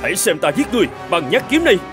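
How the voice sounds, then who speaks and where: A man as a brave, high-pitched young prince. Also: very animated, racing, over music. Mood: defiant. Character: brave, earnest young prince, one of three brothers. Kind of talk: Vietnamese fairy-tale cartoon dubbing.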